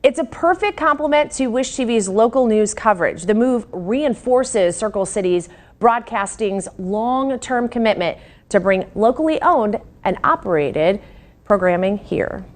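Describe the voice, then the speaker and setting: A woman's voice: a little high, expressive, measured pace. An American woman reading local news as an anchor in a scripted newscast style.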